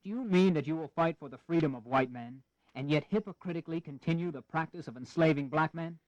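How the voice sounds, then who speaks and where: A man's voice: on the high side, fast, darkish tone, no echo, highs cut off, close to an everyday voice. An actor playing a principled, impassioned colonial statesman in a historical audio drama, with earnest, formal oratory. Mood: indignant.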